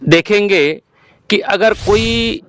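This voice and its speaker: A man from Madhya Pradesh, answering an interviewer earnestly in a halting, deliberate way and pressing points with rhetorical questions. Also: high-pitched, a bit bright, a little hiss or hum. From Madhya Pradesh, India. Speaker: protest participant being interviewed. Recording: dull lo-fi close recording.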